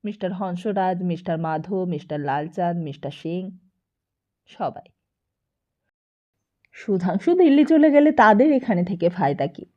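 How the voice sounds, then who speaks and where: A woman reading literary fiction as an audiobook narrator, in an expressive scripted storytelling style. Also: fairly low pitch, measured pace, long pauses, dull audio.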